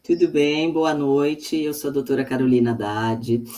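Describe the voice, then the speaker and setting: A woman's voice: very deep voice, moderate pace, warm tone. Woman hosting a video-call live. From São Paulo state, Brazil. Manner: friendly, conversational, with fillers. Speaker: host of a medical livestream. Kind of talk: hosting an online live talk.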